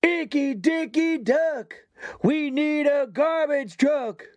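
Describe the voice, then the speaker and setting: High, jeering schoolyard bully. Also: male voice, takes it slowly, darkish tone, dry recording. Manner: jeering, sing-song taunting. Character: mocking schoolyard bully chanting rap insults.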